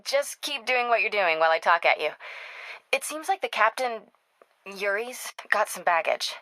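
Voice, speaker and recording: An actress playing a sharp, determined young American investigative reporter in a video game, speaking in a wry, brisk, conversational way as if on the phone. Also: female voice, mid-range voice, lively intonation, dry recording, low-quality recording. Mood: intent, conversational. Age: young adult.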